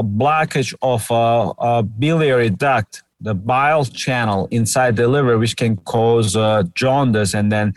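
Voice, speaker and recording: A man's voice: medium pitch, dry recording. A middle-aged man with a Turkish accent, a surgeon guest on a podcast, explaining at length with many "uh" fillers. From Turkey. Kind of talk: podcast interview.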